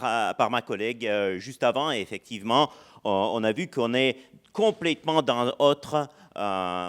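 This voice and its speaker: A man giving a conference lecture in a language that is not his first, pausing often with fillers and restarting sentences. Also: medium pitch. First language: not French. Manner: hesitant, many "euh" fillers and restarts. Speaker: university lecturer. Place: lecture hall.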